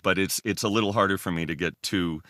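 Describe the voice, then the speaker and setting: Deep, bright-voiced man chatting casually. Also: quick pace, no room sound, studio quality. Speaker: podcast guest. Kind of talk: casual conversation.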